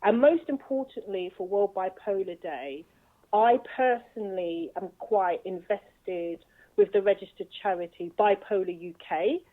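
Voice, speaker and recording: Earnest woman on a tinny phone line. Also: mid-range voice. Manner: earnest, measured, speaks from experience. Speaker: guest, bipolar advocate. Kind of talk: interview answer.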